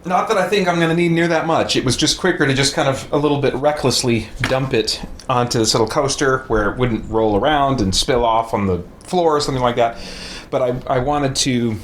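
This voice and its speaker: A man with a medium-pitched voice chats casually as a livestream host. He rambles and hesitates, often stopping to explain himself. The recording is made indoors and has clear noise in the background. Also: fairly quick, hardly any pauses, a bit bright. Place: indoors at home.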